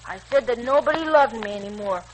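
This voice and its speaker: A woman's voice: a little high, animated, quick pace, natural voice. A woman playing a plucky, aggrieved young boy in a 1940s radio serial. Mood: aggrieved, defiant. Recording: noisy old 1940s radio recording.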